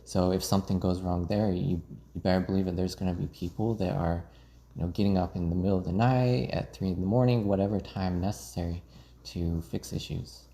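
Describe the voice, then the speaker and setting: Deep creaky guy, measured, chatting as a guest in a casual interview. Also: steady tone, mellow. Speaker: interview guest working in finance IT.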